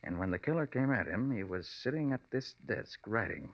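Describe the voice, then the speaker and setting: An actor playing a confident, protective private detective in a brisk, commanding 1940s radio drama style. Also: male voice, on the low side, expressive. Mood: intent, deductive. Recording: muffled old-time radio recording.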